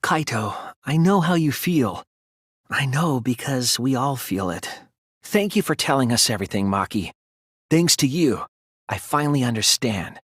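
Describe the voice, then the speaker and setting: A man voicing an earnest young male detective in a video game, thoughtful and anguished but resolute, with deliberate, analytical phrasing. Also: medium pitch, lively intonation, fairly bright tone, breathy edge, no room sound, clean studio sound. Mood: pained, gentle. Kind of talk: dramatic video game voice acting, deductive explanation.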